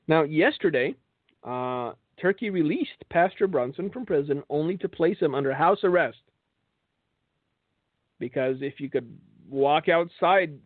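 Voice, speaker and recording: A man hosting a religious broadcast, delivering political and religious commentary in a sardonic, rhetorical way with dramatic pauses. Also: a little high. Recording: thin phone-like band, echoey room.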